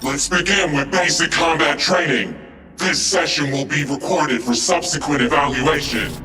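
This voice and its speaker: An impassive military training simulation, voiced by a man, gives procedural instructions. The male voice is formal and crisp, echoing from a little way off, with music behind it. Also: a little high, moderate pace, distant mic, lightly acted. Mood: impassive, authoritative. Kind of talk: scripted instructional narration.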